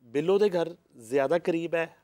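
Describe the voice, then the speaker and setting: A man's voice: on the high side, unhurried, warm tone. Pakistani Punjabi male talk show host. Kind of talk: casual interview chat.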